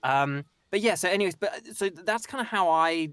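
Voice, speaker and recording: A man's voice: sits high, a little fast, a bit bright, clear, resonant tone, no echo. A young man with an English accent, chatting as a voice-actor guest in a rambling, self-interrupting way. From England. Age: young adult. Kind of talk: casual interview chat.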